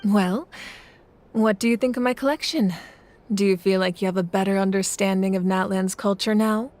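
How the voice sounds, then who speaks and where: A woman voicing an adult collector in a video game, telling old stories in a measured, reflective way. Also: mid-range voice, fairly even intonation, a little hiss or hum, natural voice. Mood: calm, reflective. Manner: measured, reflective storytelling with soft laughs. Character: adult woman collector, calm and thoughtful storyteller. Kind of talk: video game cutscene dialogue. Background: game music.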